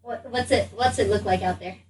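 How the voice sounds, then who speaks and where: A woman player in a tabletop game, improvising her character casually with halting, repeated words. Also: mid-range voice, darkish tone. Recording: slightly distant mic, faint hum.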